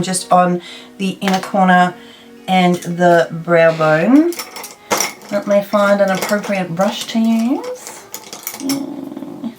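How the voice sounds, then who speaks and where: A woman in her thirties from South Africa, chatting and thinking aloud as she does her makeup in a get-ready-with-me video. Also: fairly low pitch. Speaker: beauty vlogger. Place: plain indoor room.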